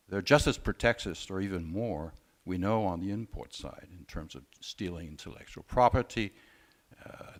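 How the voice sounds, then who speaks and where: A man speaking as a panelist at a conference discussion, measured and often hesitating. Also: deep voice. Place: conference room.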